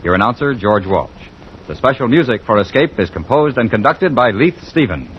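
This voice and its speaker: A man's voice: medium pitch, expressive, moderate pace, heavy background noise. A man announcing formally and with polish in the style of 1950s radio. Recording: dull old radio recording. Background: music.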